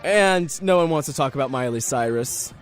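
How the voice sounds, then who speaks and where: A man's voice: mid-range voice, lively intonation, normal speed. A young man hosting a radio show solo, chatting casually in a rambling, jokey, self-deprecating way over music. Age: young adult. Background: music under the voice.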